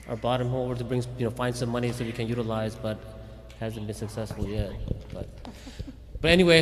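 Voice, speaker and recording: A man's voice: medium pitch, a little hiss or hum. Guy giving a casual talk in a big echoey hall, restarts a lot, keeps saying okay. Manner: conversational, with frequent restarts and "okay?" check-ins. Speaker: police chief speaking at a community event. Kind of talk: informal faith talk to a community audience. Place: Islamic center hall. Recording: reverberant hall from a livestream.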